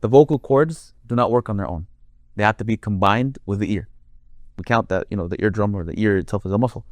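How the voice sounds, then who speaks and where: Man, deep voice, guest expert explaining patiently through examples; dry close-miked sound. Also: fast, dry recording. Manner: explains with long worked examples. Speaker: Quran reciter and guest. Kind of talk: conversational interview.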